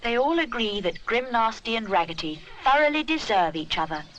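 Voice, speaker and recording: Animated, bright-voiced woman telling a children's story. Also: fairly high pitch, fairly quick, slight background noise, rough recording. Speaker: narrator. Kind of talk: expressive scripted children's story narration.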